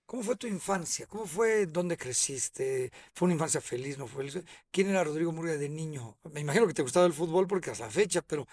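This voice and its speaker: Male interviewer, middle-aged, brisk and higher-pitched, rapid strings of questions. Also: animated, darkish tone. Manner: fires off several questions in a row. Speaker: host. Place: small studio set. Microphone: studio mic on boom arm.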